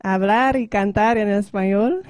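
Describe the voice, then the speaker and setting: A woman's voice: medium pitch, takes it slowly, darkish tone, no echo, clean studio sound. A woman from the Netherlands, a guest speaking careful learner's Spanish in short phrases and laughing often between them. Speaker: guest singer. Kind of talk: halting learner's Spanish with translation pauses.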